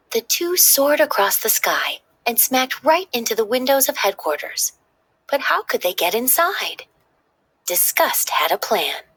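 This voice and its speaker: A woman narrating a children's story in an expressive, dramatic read-aloud style. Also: medium pitch, husky.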